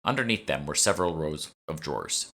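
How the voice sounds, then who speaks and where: Low-voiced man narrating an audiobook. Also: expressive, brisk, pushed and bright. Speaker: author reading his own book. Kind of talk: scripted first-person audiobook narration.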